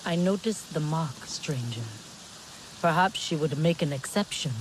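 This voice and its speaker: Dignified alien tribeswoman, lowish voice, composed, measured, formal, game dialogue. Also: lively intonation, a little hiss or hum, rough recording. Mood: guarded. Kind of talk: video game acting.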